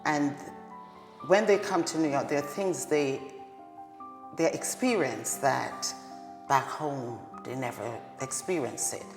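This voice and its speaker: A woman's voice: rumbling low, takes it slowly. A woman with a Jamaican accent, a care worker who gives plain, direct answers in an interview. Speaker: direct support professional. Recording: echoey hall with music behind.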